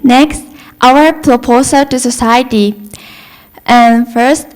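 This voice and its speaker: A young woman from Japan presents her group's proposal in a hesitant, halting way. Her voice is smooth and a little high. She speaks unhurriedly. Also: steady tone. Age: young adult. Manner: hesitant, with fillers and restarts. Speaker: student presenter.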